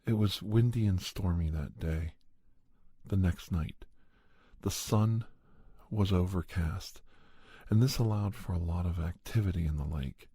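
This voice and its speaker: Low, dark-voiced man as a slow storytelling doctor. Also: slightly rough, no room sound, exaggerated voice. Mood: measured, reflective. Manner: measured, halting, deliberate. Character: calm doctor recounting a monster hunt. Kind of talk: slow ASMR horror storytelling.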